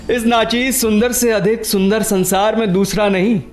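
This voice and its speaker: A man's voice: high-pitched, fairly even intonation, normal speed, faint music behind, lightly acted. An actor playing a vain, teasing, lovesick young man in a radio play, flamboyant and self-satisfied, dropping in English phrases. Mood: mocking. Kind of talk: Hindi radio play acting.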